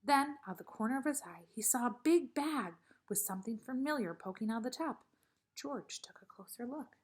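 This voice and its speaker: A woman's voice: fairly high pitch, lively intonation, moderate pace. A woman narrating a children's picture book expressively, like a teacher reading aloud.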